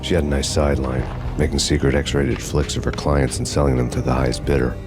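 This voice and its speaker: An actor narrating as a hardboiled noir cop in a video game, deadpan and gravelly. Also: male voice, extremely low pitch, fairly quick, over music.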